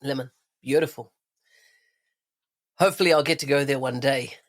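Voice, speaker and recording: A man's voice: mid-range voice, steady tone, moderate pace. A man hosting a podcast, asking upbeat, friendly questions. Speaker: podcast host. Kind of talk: podcast interviewing.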